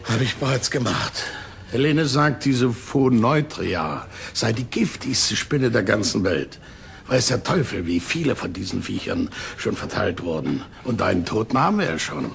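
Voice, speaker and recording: Curt, gravelly male police detective. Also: lowish voice, big pitch swings, normal speed. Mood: grim. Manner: curt, commanding. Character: brisk, authoritative male police detective leading the case. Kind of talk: crime drama acting in German.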